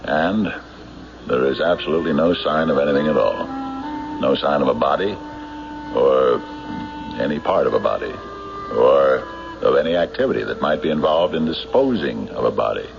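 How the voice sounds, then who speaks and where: An actor playing a stubborn small-town county sheriff in a 1970s radio drama, speaking in an emphatic, insistent, deliberate way. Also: male voice, a bass voice, animated, creaky, exaggerated voice. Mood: troubled disbelief. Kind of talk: 1970s radio drama acting, logbook narration and dialogue. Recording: dull 1970s radio recording, music behind.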